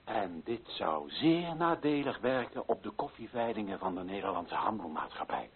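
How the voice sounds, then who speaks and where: Impassioned husky author, wildly swooping delivery. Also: male voice, fairly low pitch, sing-song, normal speed, full character voice. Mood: grave defiance. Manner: declamatory, rhetorical, emphatic. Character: impassioned, indignant male author denouncing colonial injustice. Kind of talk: dramatic radio play monologue. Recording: tinny, phone-like narrow-band sound.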